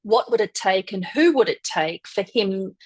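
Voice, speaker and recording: A woman speaker giving a personal storytelling talk in a measured, articulate and dramatic way. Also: mid-range voice, lively intonation, a little mellow, husky. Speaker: guest speaker, former military officer.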